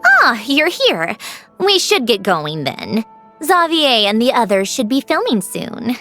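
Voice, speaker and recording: A woman playing an aloof, proud young woman in an anime-style video game, with a brisk, cool, skeptical manner. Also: a little high, wildly swooping pitch, over music, heavily acted. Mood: businesslike. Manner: clipped, cool, dismissive.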